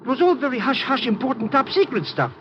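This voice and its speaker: An actor playing a fawning, shady, wordy hustler with an oily manner in a 1950s radio drama. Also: male voice, very high-pitched, very animated, quick pace. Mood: sly, eager. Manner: oily, wordy, redundant malapropisms. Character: fawning, shady, garrulous little hustler posing as financier. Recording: noisy muffled 1950s radio recording.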